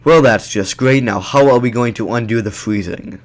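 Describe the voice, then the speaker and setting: A man's voice: medium pitch, normal speed, lightly acted. A man playing a grudging young male superhero in an audio drama. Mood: grudging, wary. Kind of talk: amateur audio drama acting.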